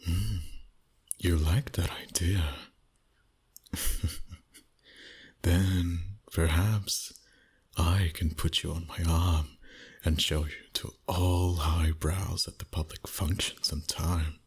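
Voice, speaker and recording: A man playing a suave, dominant, flirty vampire boss in an intimate ASMR roleplay, breathy and often near a whisper, in a teasing manner. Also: low-pitched, fairly even intonation, takes it slowly, long pauses, heavily acted. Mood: teasing, possessive. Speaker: voice actor.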